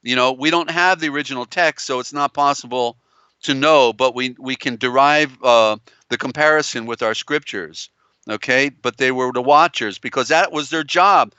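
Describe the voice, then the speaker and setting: Male stream host, bright clear tone gone strained and tired, halting and rambling, dry slightly dull audio. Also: mid-range voice, no echo. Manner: halting, meandering delivery with many restarts. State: strained, tiring voice. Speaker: live show host. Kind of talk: live-stream lecture on old scriptures.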